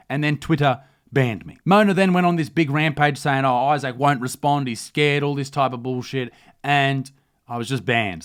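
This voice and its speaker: An Australian man delivers a mock news rant in a mid-pitched voice. He is blunt and mocking and swears freely as he comments. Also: fairly bright tone. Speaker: comedy news commentary host.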